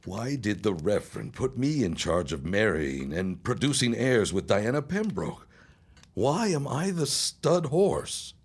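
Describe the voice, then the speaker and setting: A man's voice: lowish voice, big pitch swings, measured pace, slightly rough, full character voice. A man playing a scheming young son in an audio drama, speaking formally with a wry, arch manner. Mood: exasperated, sardonic. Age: young adult. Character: smooth, scheming young man, dry toward his mother.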